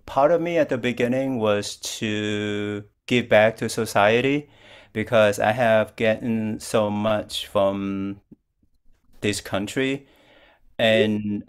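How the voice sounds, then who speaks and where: An older man from Vietnam, a Buddhist monk, calmly giving a dharma talk over a video call, speaking slowly and patiently with frequent restarts. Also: lowish voice, clean tone. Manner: calm, slow and patient, with restarts. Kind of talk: dharma talk answering questions. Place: plain-walled room at home.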